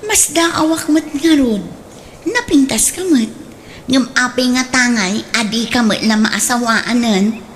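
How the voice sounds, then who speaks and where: Woman as high-voiced teasing girlfriend. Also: unhurried, slight background noise, close to an everyday voice. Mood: teasing, encouraging. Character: teasing, reassuring married woman, a close friend. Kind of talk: comedic radio drama acting.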